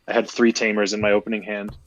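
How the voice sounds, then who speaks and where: Brief: a lower-voiced man, playful card-game streamer, easygoing banter. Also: monotone, fast talker, highs cut off. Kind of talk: casual chat during card game stream.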